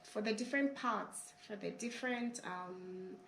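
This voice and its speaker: Hoarse Kenyan woman in her forties. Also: mid-range voice, a little slow, fairly bright tone, echoey room, slight background noise, low-quality recording. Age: 40s. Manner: hesitant, restarts, earnest. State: hoarse from a flu. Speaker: channel host, interviewee. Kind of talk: casual interview. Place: her family home in a village.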